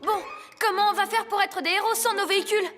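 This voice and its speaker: A small, earnest child superhero, very high in pitch and a little grumpy. The delivery is emphatic and childlike, in French animated cartoon style. Score music plays clearly behind. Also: female voice, fast talker. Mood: grumbling. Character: earnest, slightly grumpy six-year-old child superhero. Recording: music under the voice.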